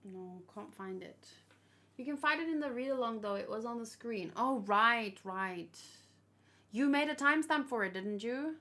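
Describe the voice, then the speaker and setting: A woman's voice: fairly high pitch, animated, moderate pace, pushed and bright. A woman hosting a read-along, giving casual, emotive commentary in a conversational way that often trails off mid-thought. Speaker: host of a manga read-along. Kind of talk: casual reaction commentary, reading aloud.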